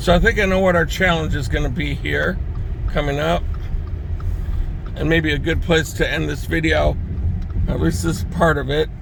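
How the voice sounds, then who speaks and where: A man vlogging from his car in a snowstorm, losing his voice, chatty and quick to chuckle. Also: fairly even intonation, creaky. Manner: chatty, easily amused, chuckles often. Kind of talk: casual driving commentary. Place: inside a car driving through a snowstorm. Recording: loud car rumble and road noise. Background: car engine and road noise.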